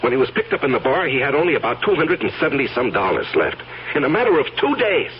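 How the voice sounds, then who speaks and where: A man's voice: medium pitch, sing-song, quick pace, lightly acted. An actor playing a hard-headed, grimly insistent man in a radio mystery drama, with emphatic delivery. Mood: exasperated, insistent. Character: tense, hard-headed man cornered by a blackmailer. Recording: muffled old radio, faint noise.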